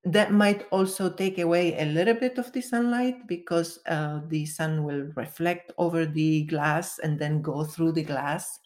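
A woman's voice: deep voice, a little slow, few pauses, darkish tone. A woman from Venezuela, a guest giving careful, step-by-step explanations in an interview. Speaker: guest, color expert.

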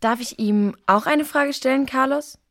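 A woman playing a calm, reassuring girl in a children's audio drama, with a gentle manner. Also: sits high, moderate pace, creaky. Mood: probing.

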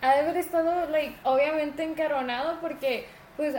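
High-voiced bilingual woman in an echoey room. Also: fairly even intonation, fairly quick, a little mellow. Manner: mixes Spanish and English, filler "like". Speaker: podcast co-host. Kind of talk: casual true-crime chat. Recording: roomy echo with faint noise.

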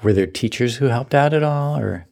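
A man hosting an interview, asking gentle, probing questions in a conversational way. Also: medium pitch, normal speed, vocal fry. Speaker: interviewer, host.

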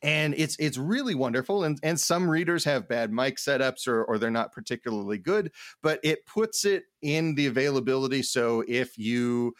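A man chatting casually as a podcast co-host, rambling and haltingly repeating words as he thinks aloud. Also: mid-range voice, animated, dry recording, clean studio sound. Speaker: podcast co-host, art professor.

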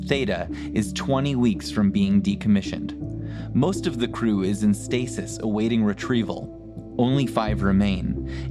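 A man hosting a podcast, reading a scripted introduction in an earnest, emphatic, recommending manner. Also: lowish voice. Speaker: podcast host. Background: plucked guitar music.

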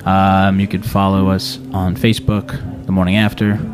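A man hosting a casual radio interview show, speaking in a halting way as he searches for words. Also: low-pitched, completely flat delivery, slight background noise. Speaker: talk show host.

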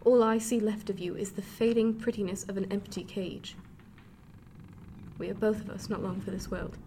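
A woman's voice: medium pitch, fairly even intonation, normal speed, mellow, lightly acted. A woman plays a bitter 18th-century lady and mother in a radio drama. She speaks in formal period phrases with a dry, cutting edge, over faint background noise. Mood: bitter, grim.

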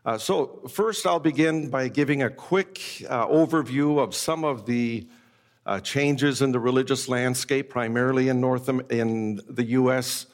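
Middle-aged man lecturing in a reverberant hall. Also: mid-range voice. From Japan. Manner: measured, with frequent "uh" fillers. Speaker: conference speaker on ministry. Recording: long reverb of a large hall.

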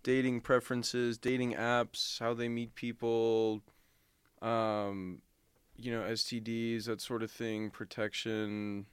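A man's voice: medium pitch, monotone, slow pace, clean tone, no room sound. A man in his twenties hosting a podcast in casual, jokey banter with crude humor. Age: 20s. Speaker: podcast host.

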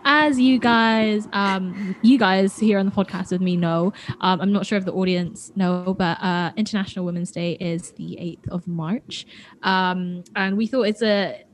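A woman's voice: mid-range voice, fairly even intonation, a little slow, a little hiss or hum. A young woman co-hosting a chatty podcast, talking in a rambling way with frequent ums and restarts. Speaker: podcast co-host. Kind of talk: casual chat.

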